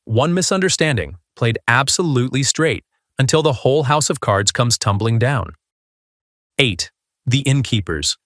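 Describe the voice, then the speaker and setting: A man's voice: medium pitch, lively intonation, moderate pace, fairly bright tone, no room sound, clean studio sound. A man hosting a video countdown, reading a scripted narration.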